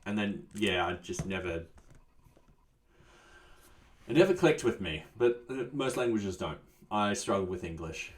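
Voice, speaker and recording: A man's voice: fairly low pitch, lively intonation, a little slow, long pauses, recorded from a distance. A man hosting a casual live coding stream, answering chat in an easygoing way that often trails off. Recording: voice a bit off-mic, soft music behind.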